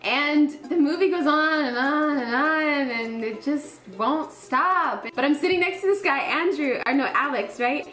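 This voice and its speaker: A woman's voice: high voice, a little slow, hardly any pauses, a bit bright, roomy sound, soft background music. A woman telling a personal anecdote casually and animatedly, acting out remembered reactions as she goes. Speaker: storyteller recounting a personal anecdote.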